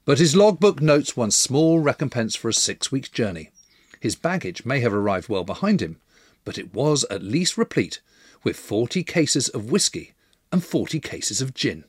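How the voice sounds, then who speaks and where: A man's voice: mid-range voice, sing-song, moderate pace, slightly rough, dry recording, studio quality. A man narrating a scripted history documentary as its storyteller.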